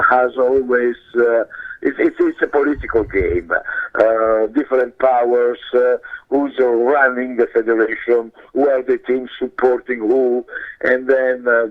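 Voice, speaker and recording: A man with an Italian accent and a hoarse voice, giving soccer commentary as a guest in a halting, repetitive way. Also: medium pitch. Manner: halting, repeats words. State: hoarse, losing his voice. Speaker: guest soccer pundit and radio show host. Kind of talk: sports interview commentary. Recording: muffled narrow-band phone line.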